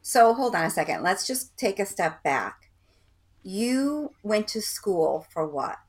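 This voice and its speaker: A woman's voice: medium pitch, big pitch swings, slow pace, breathy edge. A woman hosting a podcast, an encouraging interviewer who asks questions and gives short affirmations. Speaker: podcast host.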